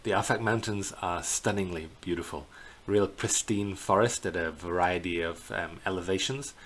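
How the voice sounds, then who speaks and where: Middle-aged male tour guide, very low voice, informal slideshow narration with hesitant phrasing, faint noise on the recording. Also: normal speed, fairly bright tone. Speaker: bird tour guide presenting a virtual tour. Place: indoors in a small plain room. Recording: clear background noise.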